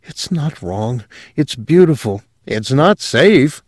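A man's voice: medium pitch, animated, slow, no room sound, studio quality, pitched above the performer's own voice. Man putting on a dreamy young woman. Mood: rapt. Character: young woman, eerie and rapturous.

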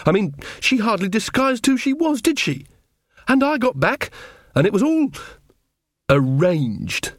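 A man's voice: a little high, big pitch swings, normal speed, no echo, clean studio sound. A man voicing an earnest, uncertain younger witch woman in an audiobook, speaking hesitantly and with feeling. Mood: upset, flustered. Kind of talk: audiobook character dialogue.

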